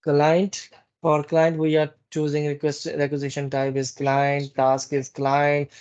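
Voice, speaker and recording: A man giving a live software training walkthrough in a halting, instructive way, often repeating phrases. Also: fairly high pitch, monotone, smooth. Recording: dull, muffled lo-fi meeting recording.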